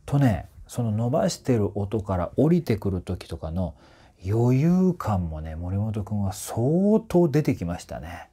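A man in his forties giving casual, explanatory commentary to camera as a voice trainer, in a slightly husky voice. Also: lowish voice, unhurried. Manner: animated, often sings short phrases to demonstrate. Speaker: voice trainer reviewing singers. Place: small indoor room with curtains.

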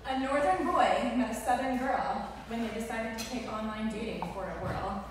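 Young woman, bridesmaid speech, high animated voice, distant echoey recording, noisy room. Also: moderate pace. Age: young adult. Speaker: bridesmaid giving a wedding speech. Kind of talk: scripted rhyming storybook speech. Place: large wood-walled indoor reception venue. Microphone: mic on a stand, picked up by far camera.

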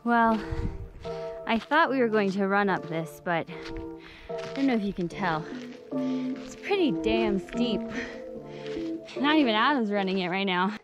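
A woman's voice: mid-range voice, animated, measured pace, pauses often, dull audio. A young woman narrating a hiking vlog outdoors in a bubbly, teasing way, recorded on an action camera on a mountain. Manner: bubbly, teasing, laughs often. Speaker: vlogger hiker. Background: background music.

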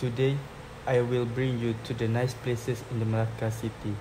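A man's voice: medium pitch, level tone, moderate pace, lightly acted. A young man with a Malaysian accent playing a welcoming local tour guide in an amateur audio drama, reading stiffly from a script. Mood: informative. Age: young adult. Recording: amateur recording, background noise.

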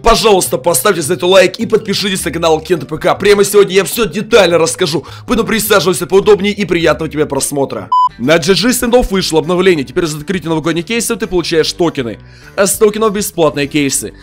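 Excitable young man with a headset, slightly sick. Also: high voice, fast, soft background music. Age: young adult. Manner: hyped, exclaims in amazement, pushes likes and promo codes. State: sick, voice a little off. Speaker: mobile game youtuber. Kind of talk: excited gaming video commentary. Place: small room at home, indoors.

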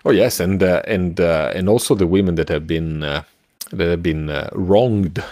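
A middle-aged man co-hosting a podcast in casual discussion, haltingly searching for words into a close microphone. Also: sits low, darkish tone, dry recording. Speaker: podcast co-host. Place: small room at home, indoors, video call. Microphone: close podcast microphone with headset.

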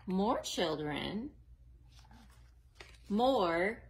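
A woman in her forties reading a picture book aloud to young children in a slow, exaggerated, sing-song way, recorded on a close webcam at home. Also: mid-range voice, sing-song. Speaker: children's librarian. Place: living room at home, then a library.

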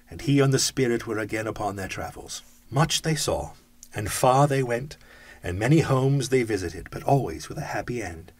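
A man reads a classic ghost story aloud in expressive, rhythmic phrases. His voice is low and slightly husky. The recording is close and dry, with no room sound. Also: dry recording. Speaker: audiobook reader.

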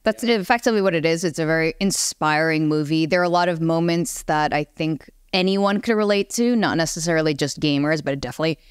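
Female podcast panelist, notably low clear voice, dry recording, earnest and informal delivery. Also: fast talker, few pauses, no room sound. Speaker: podcast panelist discussing films and games. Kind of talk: casual panel chat.

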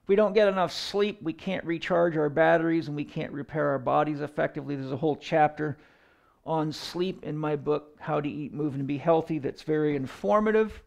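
A man in his fifties with a fairly high, creaky voice gives an explanatory lecture. He talks like a coach at a chalkboard, deliberate and emphatic, pausing between phrases. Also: a little mellow, highs cut off. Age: 50s. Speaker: fitness coach and educator giving a lecture. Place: indoor room in front of a chalkboard.

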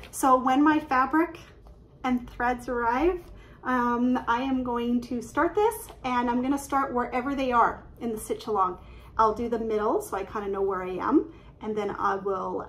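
A woman, chatting excitedly and quickly about her craft projects from her living room, filled with "um"s and little laughs. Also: a little high. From Canada. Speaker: cross-stitch vlogger. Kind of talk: casual show-and-tell chat. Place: living room at home, indoors. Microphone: webcam at desk distance.

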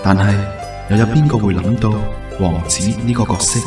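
A man's voice: lowish voice, moderate pace, distant mic, close to an everyday voice. An actor playing a wistful young man who recalls a childhood crush, speaking Hong Kong Cantonese in a reflective, earnest way in a radio drama. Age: young adult. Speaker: lead actor and narrator. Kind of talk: radio drama narration and dialogue. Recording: echoey, music bed underneath.

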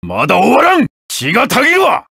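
An actor voicing a stern, honorable armored knight in a fighting game, with a gravelly, husky voice and a solemn, commanding manner. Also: male voice, extremely high pitch, huge pitch swings, a little fast, no echo, clean studio sound, heavily acted. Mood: fierce battle shouting. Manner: solemn, commanding, forceful battle shouts. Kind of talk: Japanese fighting game character voice acting.

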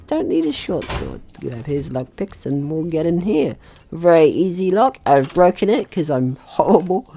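A man's voice: medium pitch, a little mellow, a little hiss or hum. A man giving casual gameplay commentary, chatting through his own actions in short remarks. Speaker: gaming video host.

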